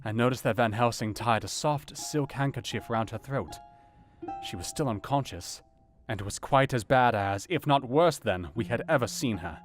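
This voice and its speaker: Earnest doctor-narrator male actor, dramatic, formal audiobook delivery, medium pitch, light music underneath. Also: normal speed, natural voice. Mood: grave, measured. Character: earnest male doctor recounting a grim night.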